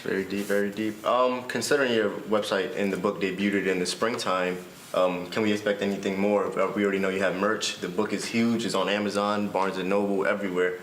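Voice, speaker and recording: Fast-talking young student interviewer. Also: male voice, on the low side, fairly even intonation, echoey room, slight background noise, low-quality recording. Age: young adult. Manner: quick, informal questions. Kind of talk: casual interview hosting.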